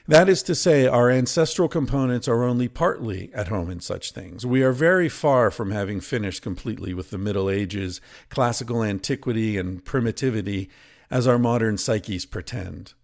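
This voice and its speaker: A man reading from a book aloud in a deliberate, measured way, with informal commentary of his own. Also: lowish voice, gravelly, darkish tone. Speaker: podcast host and reader. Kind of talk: reading a book excerpt aloud with casual asides.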